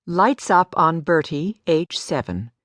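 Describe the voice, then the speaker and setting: A woman's voice: deep voice, animated, slow, fairly bright tone, no echo, clean studio sound. A woman giving a scripted story narration as an audiobook narrator. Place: dry studio.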